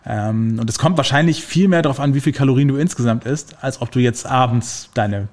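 A man's voice: mid-range voice, fairly quick. Chatty man explaining science casually. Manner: relaxed, many fillers, explains with everyday examples.

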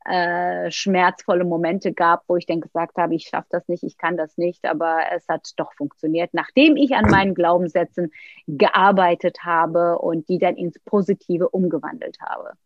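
Woman, forties, lively storytelling, dry muffled sound. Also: on the low side, moderate pace, dry recording. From Turkey. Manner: lively storytelling, many fillers and restarts. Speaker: interview guest, coach. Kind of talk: casual interview talk.

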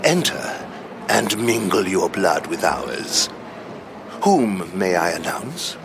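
Deep, slow, pompous palace doorman. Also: big pitch swings, vocal fry, background noise, full character voice. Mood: unctuous. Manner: florid, ceremonious, slow. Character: pompous, courtly male palace doorman of vampire society. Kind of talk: audio drama acting.

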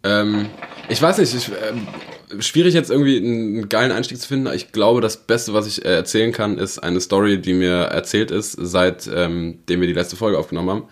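A man's voice: lowish voice, brisk. A young man co-hosting a podcast in loose, rambling casual chat, telling a story with lots of fillers. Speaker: podcast co-host.

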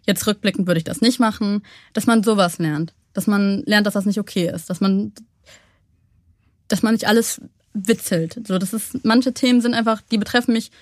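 Young woman, interview guest, reflective and measured, middle pitch, dry close mic. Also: dry recording. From Germany. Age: young adult. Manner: thoughtful, measured, frequent hesitations.

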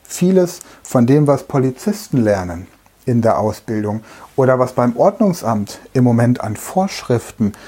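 A middle-aged man delivers a lecture-style monologue as a language trainer, speaking straight to the listener and leaning on everyday comparisons. His voice is husky and mellow, with a soft, dark tone. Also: medium pitch, big pitch swings, normal speed. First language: German. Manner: direct, uses everyday examples, speaks to the listener as du.

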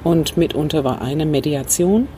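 A woman, speaking as an interview guest. She recalls her past thoughtfully, with pauses and fillers. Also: low-pitched, warm tone. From Northern Ireland. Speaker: interview guest, communication trainer. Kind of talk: reflective storytelling. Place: rooftop terrace in a city, outdoors. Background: faint traffic noise, passing train.

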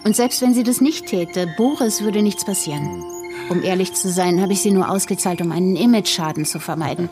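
A woman's voice: fairly low pitch, brisk, music in the background, natural voice. A middle-aged actress playing a cold, snobbish, scheming female politician in an audio drama, with a condescending, matter-of-fact delivery. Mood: cool, smug.

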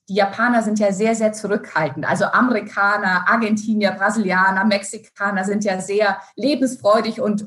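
Brazilian woman speaking German thoughtfully. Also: mid-range voice. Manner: thoughtful, many fillers and restarts, mixes in English words. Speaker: guest, finance executive. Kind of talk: interview answers.

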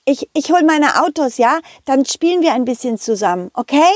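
A small, shy young boy from a children's audio play, played by a woman, speaking in a childlike, hesitant way with little stammers. His voice is high and bouncy. The recording is close and dry. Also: expressive, moderate pace, dry recording. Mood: eager.

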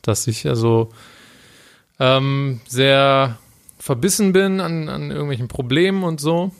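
A young man with an average-pitched voice co-hosts a laid-back chat. He speaks reflectively and slips in dry jokes. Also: a little slow, gravelly, clean tone. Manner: relaxed, reflective, dry humor. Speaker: podcast co-host. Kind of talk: casual chat.

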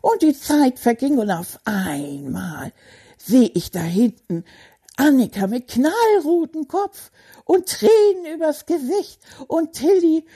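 A woman voicing a chatty, boastful male stuffed toy rat, telling a children's story in an excitable, childlike way with a husky voice. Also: sits high, takes it slowly, heavily acted. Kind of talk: children's audio story monologue.

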